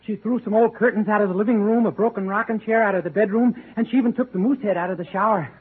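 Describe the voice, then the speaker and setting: A man's voice: high-pitched, fairly even intonation, fast, breathy edge. A man playing a naive, dim-witted young man who blurts things out and repeats himself, in 1940s radio comedy style. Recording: tinny scratchy old radio broadcast.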